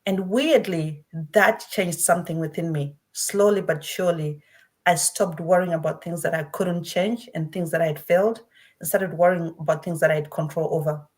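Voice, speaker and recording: A woman from Zimbabwe, middle-aged, tells her story in a slightly low voice. She talks earnestly and often restarts her phrases, the way people do when sharing something personal. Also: steady tone, moderate pace. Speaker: guest speaker. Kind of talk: personal testimony.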